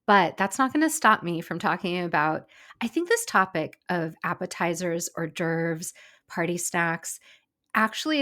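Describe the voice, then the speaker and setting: A woman's voice: lowish voice, a bit bright. Chatty woman podcast host, slightly stuffy. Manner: chatty, enthusiastic, quick asides. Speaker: former personal chef and caterer. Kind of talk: casual chat.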